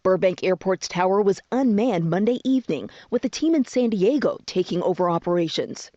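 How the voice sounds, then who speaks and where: Woman reading a news voiceover, medium pitch, dry and a little lo-fi. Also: expressive, fairly quick, warm tone, no room sound. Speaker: news narrator. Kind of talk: scripted news narration. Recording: slightly dull, dry voice-over.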